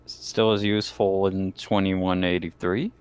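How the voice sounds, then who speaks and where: A man's voice: low-pitched, level tone, measured pace, a little mellow, smooth. A man chatting casually as a player in a tabletop roleplaying game. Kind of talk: casual chat.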